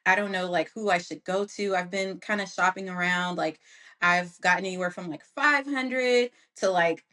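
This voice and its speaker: A woman's voice: fairly low pitch, moderate pace, sharp tone. A woman chatting casually as a guest seeking advice, informal and peppering her talk with "like". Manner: informal, frequent "like". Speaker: guest voice actor asking for advice. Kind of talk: casual chat.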